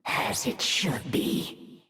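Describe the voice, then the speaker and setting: An actress voicing a proud female alien brood leader who speaks in a hushed, breathy way. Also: very slow. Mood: wary. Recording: echoey and distant.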